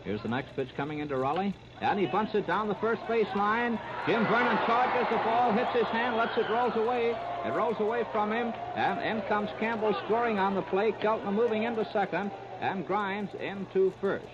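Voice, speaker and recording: A male baseball announcer gives a live 1930s radio play-by-play in a fairly high voice. He narrates in short, clipped, present-tense phrases. The old recording is muffled, with clear hiss and noise over it. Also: normal speed, hardly any pauses.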